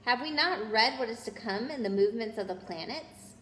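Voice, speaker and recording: A proud male centaur voiced by a woman scolds in a storybook tale, indignant and declamatory. The voice is pushed high and rings in an echoey room with some noise. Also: lively intonation, fairly quick, a bit bright. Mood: outraged. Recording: roomy echo with noise.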